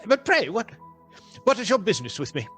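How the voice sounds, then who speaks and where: An actor playing an old miserly gentleman with formal, expressive diction in a theatrical radio play. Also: high-pitched, a little fast, faint music behind. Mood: nervous, querulous. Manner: formal, archaic, expressive delivery.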